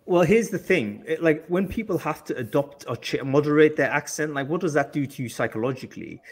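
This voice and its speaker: A man's voice: on the high side, animated, a little fast, husky. A man from England hosting a panel discussion, talking with many restarts and fillers. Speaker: host.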